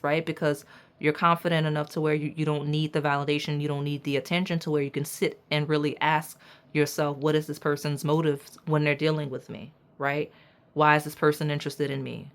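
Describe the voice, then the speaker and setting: A woman doing a pick-a-card tarot reading with a raspy voice, talking straight to her viewers in an emphatic, preachy way and checking in with "okay?" and "right?". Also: sits low. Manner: casual slang, addresses viewers as y'all. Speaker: tarot reader.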